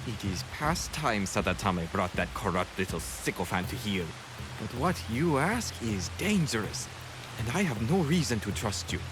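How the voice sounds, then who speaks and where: An actor playing an earnest, loyal ally in historical Japan in a video game cutscene, speaking in a halting, deliberate way. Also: male voice, lowish voice, very animated. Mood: grave. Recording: hiss and rain noise behind.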